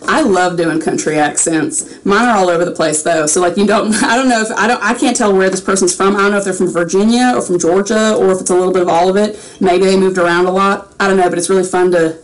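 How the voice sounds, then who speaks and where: Woman, 30s, voice actor on a casual home livestream; chatty, rambling, quick to laugh; mid pitch; desk webcam in a small room. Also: steady tone, low-quality recording. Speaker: voice actor answering fan questions. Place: small home room with a framed picture behind.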